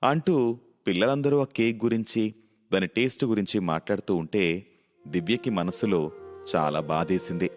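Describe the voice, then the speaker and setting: A man's voice: on the low side, moderate pace, pauses often. A man narrating a scripted children's story as the storyteller. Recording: music behind the voice.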